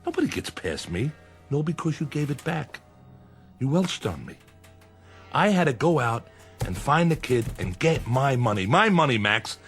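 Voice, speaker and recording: Menacing, sardonic gangland boss. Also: male voice, medium pitch, very animated, moderate pace, a bit bright, slightly rough, faint music behind, exaggerated voice. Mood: aggrieved, threatening. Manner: wry, weary sarcasm with veiled threats. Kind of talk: old-time radio crime drama acting.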